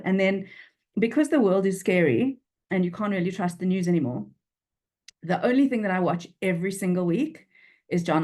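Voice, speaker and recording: A woman's voice: on the low side, a little mellow. A woman in her forties with a Durban South African accent, chatting frankly in an interview over a video call, often restarting her sentences. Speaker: freelance copywriter, guest. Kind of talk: casual interview chat.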